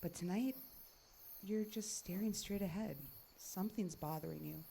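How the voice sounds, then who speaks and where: Woman acting female technician, sardonic, perceptive, down-to-earth, medium pitch, audio drama delivery. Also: moderate pace, gravelly, mellow, a little hiss or hum, lightly acted. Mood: probing. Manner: sardonic, plain-spoken.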